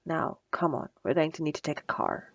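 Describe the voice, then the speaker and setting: A woman playing a bossy, sarcastic supervillain with a soft spot, in a teasing, dry, conversational ASMR roleplay. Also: deep voice, expressive, fairly quick, highs cut off, a little hiss or hum. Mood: bossy, sardonic. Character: female supervillain, bossy and sarcastic, secretly flustered by compliments. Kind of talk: ASMR roleplay audio drama.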